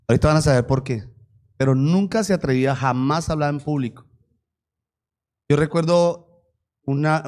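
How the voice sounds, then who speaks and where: A man's voice: medium pitch, a little mellow. A male pastor, interviewing his wife in front of the church and joking with the congregation.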